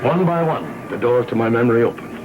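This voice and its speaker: A man's voice: mid-range voice, lively intonation, fairly quick, phone-quality sound, noisy background, lightly acted. Actor as a solemn older gothic soap father. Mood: sorrowful. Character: solemn long-lost father back from presumed death. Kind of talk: 1960s gothic soap opera acting. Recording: old TV soundtrack with music.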